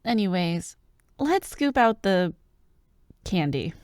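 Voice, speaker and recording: A woman playing a bubbly, bossy young childhood friend who loves Halloween, in an animated, teasing ASMR roleplay. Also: on the low side, slow, dry recording, clean studio sound. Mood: playful. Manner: animated, expressive, teasing, with laughs and sighs. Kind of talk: ASMR roleplay, one-sided acted conversation.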